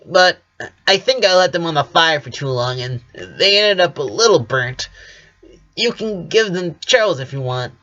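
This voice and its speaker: Storybook tomboy sister, played by a man: high, bashful yet cocky, on lo-fi audio. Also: expressive, normal speed, sharp tone. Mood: flustered, bashful. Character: flustered, defensive sporty tomboy sister, teasing and bashful. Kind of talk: storybook character voice.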